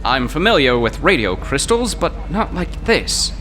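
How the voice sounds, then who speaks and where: An actor playing a fussy, wordy gentleman scholar who speaks in flowery, old-fashioned phrases and gets excited easily, in old-time radio drama style. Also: a little high, measured pace. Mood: excitable, wordy. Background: music.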